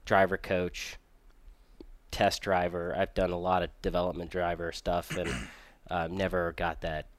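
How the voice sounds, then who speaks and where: A very low-voiced man recounting his past in an easygoing, rambling way, full of fillers. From Michigan and raised in Virginia, he sounds close and dry, with no room around him. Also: level tone, measured pace, dry recording. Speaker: guest race car driver. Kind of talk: casual podcast interview.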